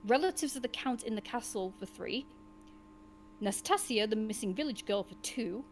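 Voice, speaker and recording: A woman hosting and narrating an interactive gamebook, mixing expressive storybook reading with casual asides. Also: on the high side, moderate pace, slight background noise. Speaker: host and narrator of a gamebook session.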